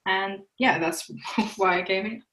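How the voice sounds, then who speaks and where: A woman's voice: mid-range voice, measured pace, a bit bright. A young adult woman from Germany, an international student giving brief, plain answers. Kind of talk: casual chat.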